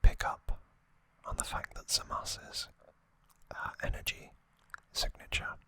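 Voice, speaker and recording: An ASMR host speaks entirely in a bright, sibilant whisper. The delivery is slow and level, with soft, breath-only words set apart by frequent pauses, like a gently paced trivia recap.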